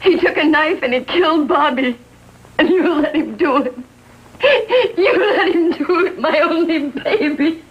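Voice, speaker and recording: A woman's voice: high-pitched, a little slow. A woman playing a bitter, grief-stricken American mother in a 1950s TV drama, speaking in anguished, accusing tones. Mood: tearful. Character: bitter, grief-stricken mother blaming police for son's death. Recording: dull vintage TV soundtrack.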